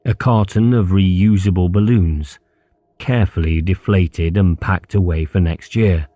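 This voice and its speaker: A male audiobook narrator with a very low, mellow voice. He reads literary prose as scripted narration, captured in a very dry recording. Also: measured pace, no room sound.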